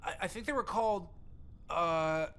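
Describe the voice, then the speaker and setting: हिचकता, बेपरवाह किशोर लड़का. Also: male voice, high-pitched, fairly bright tone, smooth. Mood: awkward. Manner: halting, casual, bro-like. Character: awkward, cocky slacker teenage boy hitting on girl. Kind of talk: scripted visual novel dialogue.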